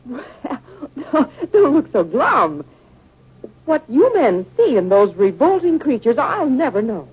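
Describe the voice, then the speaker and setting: A woman's voice: high voice, sing-song, measured pace, breathy edge, heavily acted. A woman playing an anxious, squeamish professor's wife who loathes insects, in an emotive 1950s radio drama style. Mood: reluctant. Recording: dull, band-limited 1950 radio recording.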